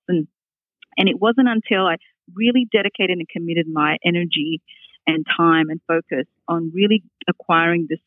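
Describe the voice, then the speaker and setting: A woman's voice: fairly low pitch, dry recording. Upbeat Australian woman on a tinny line. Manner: articulate, upbeat, laughs readily. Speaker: public speaking coach, guest. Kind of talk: casual interview answers. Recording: tinny phone line, dry.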